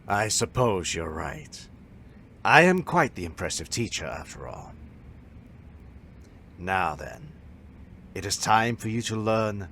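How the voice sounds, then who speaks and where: Man playing a low-voiced, proud martial arts master. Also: very animated, a bit bright, slight background noise, heavily acted. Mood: proud, approving. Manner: formal, measured, instructive. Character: proud, stern male martial arts master teaching a pupil. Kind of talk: fan audio drama acting.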